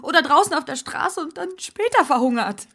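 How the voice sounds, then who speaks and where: An actress playing a miserable, resigned young bride, plaintive, in a German radio drama. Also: female voice, sits high, lively intonation, fairly quick, a bit bright.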